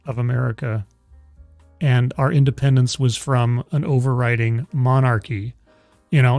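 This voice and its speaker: A man's voice: mid-range voice, dry recording. A man hosting a casual chat show, who stammers and restarts often while arguing his point. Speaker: host.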